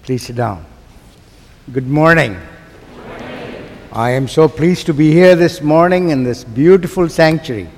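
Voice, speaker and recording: Slow-speaking Pakistani preacher in a reverberant church. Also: male voice, a little high, slight background noise. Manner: rhetorical questions put to the listeners. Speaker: guest preacher. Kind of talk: sermon to a church congregation. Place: large church sanctuary. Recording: long hall reverb of a church sanctuary.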